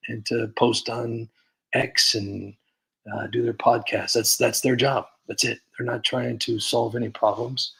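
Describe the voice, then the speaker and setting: A man's voice: medium pitch, level tone, a little slow, creaky, fairly bright tone, rough recording. A man hosting a comedy livestream, chatting casually and rambling with lots of fillers and restarts. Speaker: comedy channel host.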